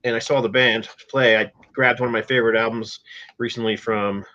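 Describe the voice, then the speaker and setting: A man's voice: medium pitch, level tone, brisk, bright, forceful tone, muffled recording. A middle-aged man hosting a music podcast in casual chat, matter-of-fact and openly opinionated. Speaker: podcast host.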